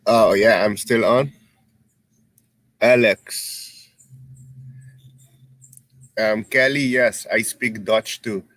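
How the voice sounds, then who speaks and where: Aruban man, native Papiamento speaker, low, slow and patient delivery. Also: fairly bright tone, poor audio. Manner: unhurried, explains patiently. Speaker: live-stream viewer. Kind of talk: casual chat.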